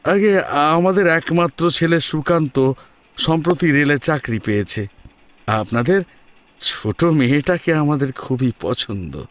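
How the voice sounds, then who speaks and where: A man voicing a humble, deferential father who politely and haltingly pleads for a marriage match in an audio drama. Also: a little high, lively intonation, pitched above the performer's own voice. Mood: humble pleading.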